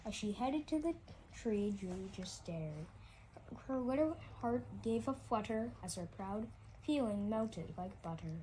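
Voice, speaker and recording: A woman's voice: mid-range voice, lively intonation, unhurried, dark tone, slight background noise, poor audio. A woman reading a rhyming children's story aloud, often stumbling and repeating words as she goes.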